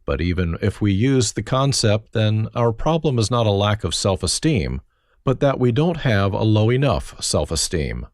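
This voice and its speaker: A man reads his own life story, his low voice rising and falling with a lot of movement. His humor is dry and self-mocking. The sound is dry and close, with no room around it. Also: big pitch swings, moderate pace, darkish tone, dry recording. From Germany. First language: German. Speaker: autobiography narrator. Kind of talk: reflective first-person memoir reading.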